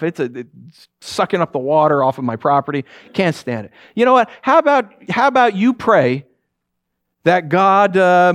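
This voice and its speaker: A man's voice: sits high, a bit bright. A man playing a skeptical, dismissive everyman in a told story, hedging and argumentative. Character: skeptical, dismissive everyman, grumbling and easily rattled.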